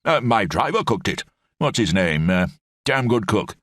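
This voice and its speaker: A man's voice: on the low side, big pitch swings, normal speed, a bit bright, dry recording, clean studio sound, pitched above the performer's own voice. A man voicing an elderly, bumbling brigadier with clipped, rambling upper-class speech.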